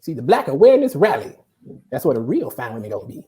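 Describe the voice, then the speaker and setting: Lady playing opinionated old man barber, comedy read, talks fast, folksy, teasing, mellow voice. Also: extremely low pitch, big pitch swings. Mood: advising. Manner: folksy, streetwise, argumentative. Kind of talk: comedy script table read.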